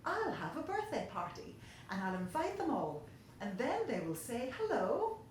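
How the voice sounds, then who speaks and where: A woman's voice: on the high side, wildly swooping pitch, normal speed, recorded from a distance, a little hiss or hum. Storybook moon child played by a woman reader: small, eager, wistful boy, raised lilting voice, strong room echo. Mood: hopeful. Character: eager, lonely young moon boy, gentle and hopeful.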